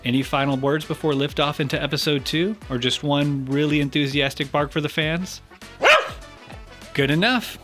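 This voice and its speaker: A man's voice: fairly high pitch, steady tone, normal speed, a bit bright, faint music behind. A man hosting a podcast, conducting a playful interview with tongue-in-cheek seriousness. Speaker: podcast host.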